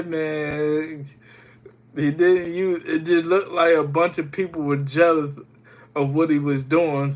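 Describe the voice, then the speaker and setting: A young man streaming live while getting over a cold, chatting loosely with viewers and greeting them by name. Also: on the high side. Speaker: livestreamer. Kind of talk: casual livestream chat with viewers.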